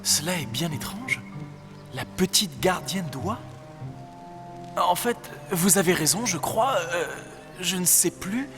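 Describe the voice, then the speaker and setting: High-voiced, dreamy young royal. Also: male voice, lively intonation, slow pace, music in the background. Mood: doubtful. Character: dreamy, unsure young male royal bridegroom. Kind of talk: French cartoon dubbing.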